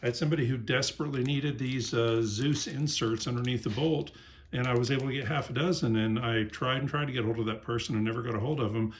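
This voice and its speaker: Middle-aged man, low voice, tired, rambling small-business sales talk. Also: fairly even intonation, fast, a little hiss or hum. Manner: plain-spoken, rambling, many ums and uhs. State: tired after a long day. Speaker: small airgun parts maker. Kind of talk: casual sales talk to camera.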